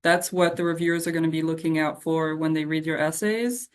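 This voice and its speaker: A woman with a slightly low voice that moves very little in pitch. She answers questions informally as a staff member, hesitating as she goes and offering reassurance. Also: steady tone, a little fast.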